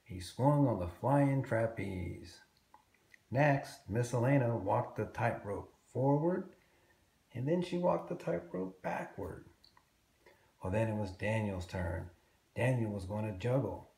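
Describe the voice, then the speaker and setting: Mellow man reading a children's storybook. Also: on the low side, creaky, roomy sound. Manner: expressive, deliberate storytelling with drawn-out words. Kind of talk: children's storybook read-aloud.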